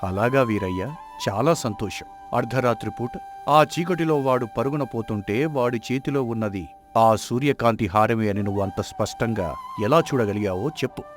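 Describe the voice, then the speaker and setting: A man playing a wise blind king in a folk tale, questioning deliberately with pointed sarcasm. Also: mid-range voice, soft background music, close to an everyday voice. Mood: probing. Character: wise blind king, shrewd and righteous father. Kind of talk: dramatised Telugu folk tale acting.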